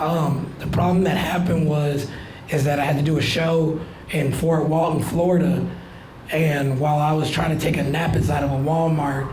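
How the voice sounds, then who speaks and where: Man, 30s, raspy, rambling stand-up comic, higher voice, live club crowd laughing. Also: reverberant, rough recording. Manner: loose rambling delivery with filler "like". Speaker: stand-up comedian. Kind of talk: stand-up comedy set. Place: small comedy club stage with live audience. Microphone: handheld stage mic. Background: audience laughter.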